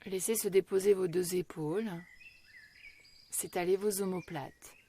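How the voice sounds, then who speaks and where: A woman guiding a yoga relaxation meditation in a slow, measured, gentle and soothing way, with long pauses. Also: lowish voice, fairly even intonation, a little hiss or hum. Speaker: yoga nidra meditation guide.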